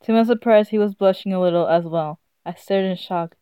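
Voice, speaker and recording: A woman's voice: medium pitch, a little fast, clean tone, no echo. A woman streaming a visual novel, giggly and amused, reading text aloud casually and stumbling over it, game music behind her. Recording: slightly dull sound, game music behind.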